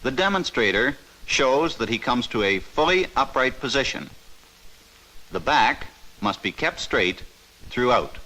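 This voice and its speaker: A man narrates a 1950s instructional film in a measured, authoritative way. His voice sits at a middle pitch with a bright, forceful edge. He leans on the key words of each point. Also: low-quality recording. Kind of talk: scripted documentary narration. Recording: 1950s training film soundtrack.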